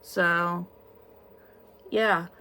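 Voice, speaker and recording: A woman's voice: fairly low pitch, fairly even intonation, drawn-out words, pushed and bright, smooth. A woman in her twenties, tired, answering viewer questions in a casual vlog with many ums and restarts. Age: 20s.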